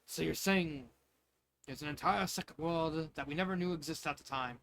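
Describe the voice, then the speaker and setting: Man reading as a proud, gentle storybook father. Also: a little high, moderate pace, a little hiss or hum. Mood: amazed.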